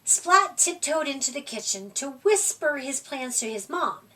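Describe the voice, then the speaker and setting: A woman reading a children's picture book aloud at a library storytime, with gentle, expressive delivery. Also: high-pitched, unhurried. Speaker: storytime reader. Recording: voice from far off the mic.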